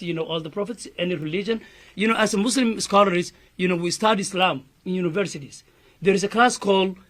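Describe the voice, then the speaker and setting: A man's voice: high voice, husky. A man from Somalia with a Somali accent, an imam giving an earnest press conference statement full of "you know" fillers.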